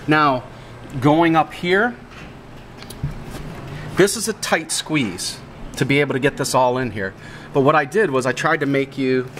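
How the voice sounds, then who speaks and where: A man's voice: fairly high pitch, fairly bright tone. A man giving a casual, hands-on equipment walkthrough in a plain-spoken, explanatory way, repeating instructions as he goes. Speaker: audio equipment installer giving a tutorial. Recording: clearly noisy room background.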